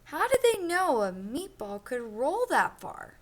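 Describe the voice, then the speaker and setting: A woman's voice: high-pitched, wildly swooping pitch, measured pace, voice pushed up, heavily acted. A woman voicing a sloppy, cheerful young boy who narrates his own storybook, read expressively. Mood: boastful.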